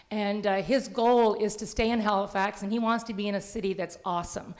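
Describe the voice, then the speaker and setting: A woman in her forties takes the floor at a public consultation meeting. She talks fast and chattily in a mid-pitched voice, with an openly nervous edge.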